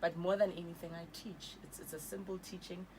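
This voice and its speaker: A woman's voice: on the low side, fairly even intonation, fairly quick, background noise. A South African woman in her thirties, speaking earnestly as a guest on a radio interview and switching languages mid-sentence. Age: 30s. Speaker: guest, author and evangelist. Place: radio studio.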